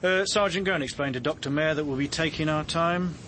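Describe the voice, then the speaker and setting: An actor playing a gruff, sardonic male police inspector in a radio drama, speaking in a blunt, wry, clipped way. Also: fairly high pitch, fairly quick, a bit bright, slight background noise, close to an everyday voice. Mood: sardonic, brisk. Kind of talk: acted British radio drama dialogue.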